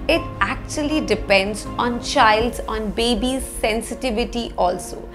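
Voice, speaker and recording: A woman in her thirties with an Indian accent presents an explainer lesson to camera, phrasing things deliberately and with emphasis. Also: fairly high pitch, fairly bright tone. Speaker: parenting educator, presenter. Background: background music.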